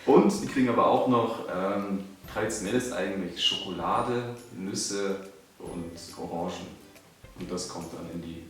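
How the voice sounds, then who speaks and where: Low-voiced Bavarian man in an echoey room. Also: unhurried. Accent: Bavarian German. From Bavaria, Germany. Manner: dry, joking asides. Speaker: guest. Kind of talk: casual chat. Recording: echoey room, mic at a distance, faint music.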